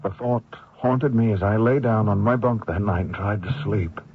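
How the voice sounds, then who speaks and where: An actor playing a haunted American fugitive, grave, earnest and defensive, in an old radio drama. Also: male voice, on the low side, normal speed, narrow, tinny audio, faint music behind, lightly acted. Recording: thin dry old radio recording.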